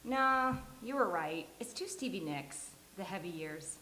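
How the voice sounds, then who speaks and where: A woman's voice: lowish voice, animated, unhurried, sharp tone. Woman playing neurotic sitcom woman, dry teasing delivery, echoey room, faint hiss. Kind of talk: live sitcom table read. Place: live venue with studio audience. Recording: roomy live venue, faint hiss.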